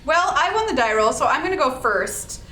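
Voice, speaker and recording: Card player: bright, slow-talking woman, wry jokes; distant roomy mic. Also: high-pitched, expressive, slight background noise. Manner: jokey, self-mocking. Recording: echoey room, mic at a distance.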